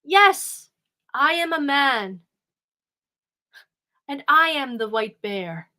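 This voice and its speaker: Lady storyteller doing a cursed prince guy, pleading, high and bright, drags his words out. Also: expressive, drawn-out words, heavily acted. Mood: earnest.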